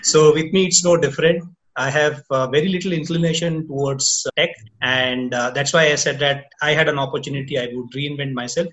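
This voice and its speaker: A man's voice: fairly high pitch, fairly even intonation, brisk, hardly any pauses, a bit bright. A man with an Indian English accent, a company co-founder answering interview questions in a reflective, slightly philosophical way. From Odisha, India.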